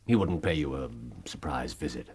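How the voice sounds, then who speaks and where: A man's voice: extremely low pitch, expressive, moderate pace, a little mellow, slight background noise. A British man acting in a radio drama as a brilliant, impatient consulting detective, incisive, theatrical and sardonic as he probes. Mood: probing, courteous.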